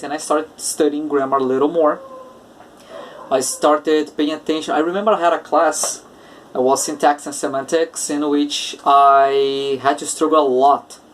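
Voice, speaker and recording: A man with a Brazilian Portuguese accent, an English teacher hosting his own casual podcast, talking slowly and searching for words with many filler uhs. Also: a little high, recorded from a distance, a little hiss or hum. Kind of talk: casual solo podcast talk.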